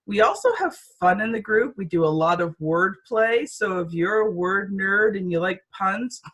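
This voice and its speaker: A woman's voice: mid-range voice, fairly bright tone, slightly rough, rough recording. A woman speaking as a podcast host, introducing the show and chatting with a guest. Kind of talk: podcast introduction and interview chat.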